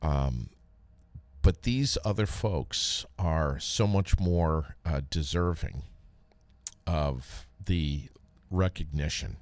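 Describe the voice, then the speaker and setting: Deep-voiced, slow-talking American man. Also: lively intonation, a little mellow, dry recording. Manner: halting, conversational delivery with frequent pauses. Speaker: podcast host, former radio DJ. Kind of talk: casual solo podcast talk with read sponsor plug.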